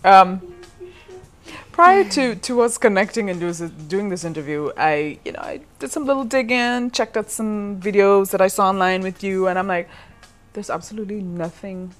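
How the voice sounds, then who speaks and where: A woman hosting a casual interview, asking quick, enthusiastic questions, agreeing often and laughing. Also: medium pitch, animated, faint music behind. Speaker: interview host.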